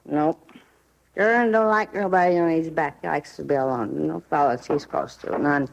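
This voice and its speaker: A woman's voice: low-pitched, normal speed, vocal fry, rough recording. An American woman playing a brassy, curt barfly informant in a 1950s radio crime drama, slangy and offhand.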